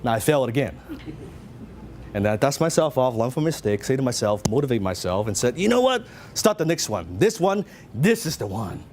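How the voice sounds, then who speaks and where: A man's voice: mid-range voice, very animated, a little fast, darkish tone, a little hiss or hum. A middle-aged man from Hong Kong with a Hong Kong Cantonese accent, giving a motivational seminar talk and telling his story with lots of questions to the audience. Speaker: business speaker.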